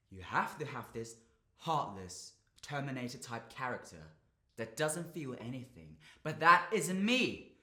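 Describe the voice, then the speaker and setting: Casting: actor as stressed young man, bright higher-pitched voice, bitter and confessional, stage monologue in an echoey room. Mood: quiet, resigned. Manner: confessional, sweary, emotionally volatile. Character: stressed, drained young man confiding bitterly, burdened by family. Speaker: drama student actor.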